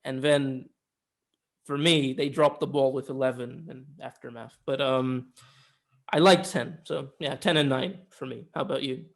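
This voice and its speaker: A man's voice: medium pitch, steady tone, a little slow, vocal fry. A young man chatting casually as a film review co-host, opinionated and given to repeating words for emphasis.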